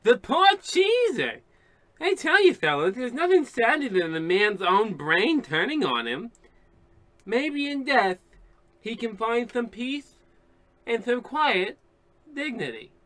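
An actor playing a flippant, theatrical police detective in a parody of old radio drama. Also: male voice, very high-pitched, sing-song, slow pace, a bit bright, no echo, exaggerated voice. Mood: mock sorrow.

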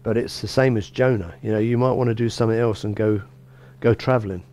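A middle-aged man, a bishop, gives a relaxed sermon in a small church, talking conversationally with frequent restarts and "you know". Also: lowish voice, quick pace. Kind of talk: informal sermon.

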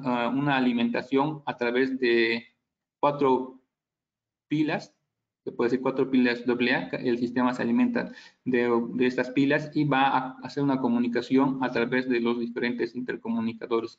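A man's voice: medium pitch, little pitch movement, moderate pace. Man presenting, dull lo-fi audio. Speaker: product trainer giving a webinar. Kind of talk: technical slide presentation with audience questions.

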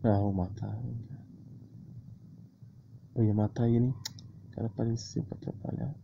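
A man's voice: mid-range voice, little pitch movement, moderate pace. A Brazilian man giving casual gameplay commentary in short, slangy remarks as he plays. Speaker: gamer commentating own match. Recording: muffled lo-fi mic with clear noise.

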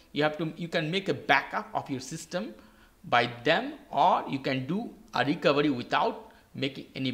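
A man's voice: a little high, a bit bright. A man hosting a step-by-step tech tutorial in simple, repetitive, non-native English phrasing. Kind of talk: step-by-step how-to narration. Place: indoors at a desk with a laptop.

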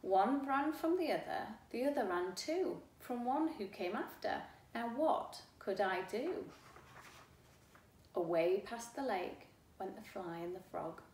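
A middle-aged woman reading a children's book aloud in an expressive, deliberate, rhythmic way. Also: medium pitch, recorded from a distance. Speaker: storyteller. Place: living room at home. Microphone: camera a few feet away. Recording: echoey room, faint background noise.

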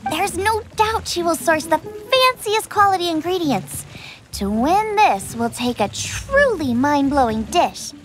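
A woman voicing an eager, bubbly teenage girl chef in a video game, with a perky, expressive manner. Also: very high voice, unhurried, over music. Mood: thoughtful. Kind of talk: animated video game voice acting.

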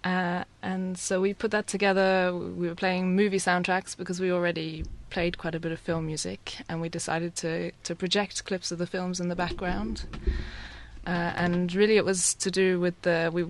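A woman from England talking casually into a studio mic. Her voice sits low and stays fairly flat, unhurried as she explains things. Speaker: musician guest. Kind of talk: casual interview answers. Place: small radio studio. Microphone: studio boom mic.

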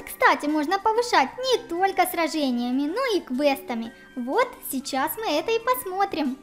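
Very high-pitched woman, chatty kids' game co-host. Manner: chatty, exclamatory, addresses viewers. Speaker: co-host of a children's let's play. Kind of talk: game commentary for children.

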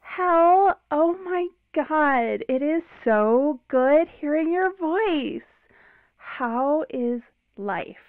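Woman playing a high-voiced, breezy girlfriend on the phone. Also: animated, drawn-out words, no echo, heavily acted. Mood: gushing. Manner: drawling, teasing. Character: breezy, sardonic, besotted young woman, a devoted girlfriend. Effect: phone filter. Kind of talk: modern audio drama acting. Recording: tinny narrow phone line.

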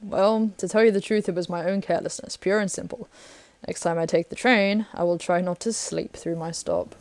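A woman playing a polite, guarded, self-deprecating gentleman guest in a 1930s radio drama. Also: on the low side, steady tone, normal speed, natural voice. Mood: polite, reserved.

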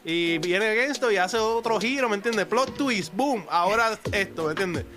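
Puerto Rican man, fairly high voice, music behind. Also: lively intonation, moderate pace. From Puerto Rico. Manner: slangy, often tags phrases with a question. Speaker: streamer, podcast guest. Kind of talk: casual podcast chat. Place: bedroom-style streaming room, indoors. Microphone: close desk microphone.

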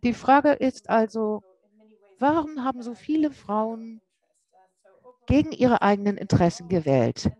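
A woman interpreting a lecture live, speaking haltingly and often correcting herself mid-word. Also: on the high side, expressive, warm tone, dry recording, rough recording. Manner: halting, with frequent self-corrections. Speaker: simultaneous interpreter.

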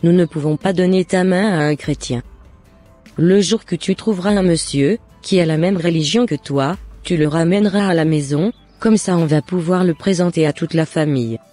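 A man voicing a high-pitched stern cartoon mother. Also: normal speed, warm tone, faint music behind, lightly acted. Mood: stern, dismissive. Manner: firm, scolding. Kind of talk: scripted cartoon dialogue.

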